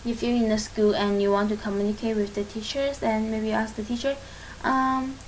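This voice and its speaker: Chinese-accented woman teaching, slightly high voice. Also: little pitch movement, a little hiss or hum, poor audio. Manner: halting, searching for English words, many fillers; encouraging, reassuring. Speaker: language teacher and channel host. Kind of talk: casual spoken lesson to camera.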